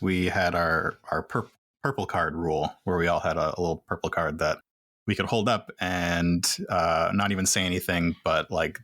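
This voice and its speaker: A man's voice: low voice, fairly bright tone, no room sound, clean studio sound. A man hosting a podcast round table, asking the other guests questions in long strings. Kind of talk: casual panel discussion.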